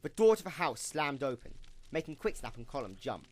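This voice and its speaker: Animated male storybook narrator. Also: medium pitch, fairly quick. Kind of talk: dramatic scripted story narration.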